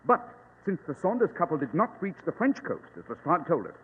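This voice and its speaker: An imperious British detective reasoning aloud in formal, exact phrases for an old radio mystery. His fairly high voice swings widely in pitch, over a thin, tinny vintage recording. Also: big pitch swings, a little fast, in a large hall, a little hiss or hum. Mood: confident, deductive. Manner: pompous, exact, formal deduction. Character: Sherlock Holmes, brilliant, imperious, precise English detective. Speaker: actor in a radio detective drama. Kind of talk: 1940s radio acting.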